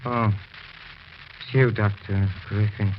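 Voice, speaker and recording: A man acting a grieving, obsessed widower in 1940s radio horror style, pleading in a halting, insistent way. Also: lowish voice, steady tone. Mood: haunted, brooding. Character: grieving, obsessed widower haunted by his dead wife. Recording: dull, hissy 1940s radio broadcast recording.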